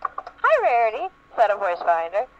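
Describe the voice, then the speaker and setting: High-voiced man reading, muffled and noisy. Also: huge pitch swings, moderate pace. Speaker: reader in a group dramatic reading. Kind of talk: amateur dramatic reading of fan fiction. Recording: muffled noisy lo-fi voice-chat recording.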